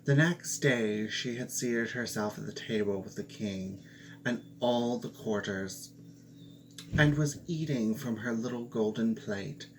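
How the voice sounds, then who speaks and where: A man reading a fairy tale aloud, slow and expressive, with playful asides. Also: medium pitch, a little hiss or hum, rough recording. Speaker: storyteller.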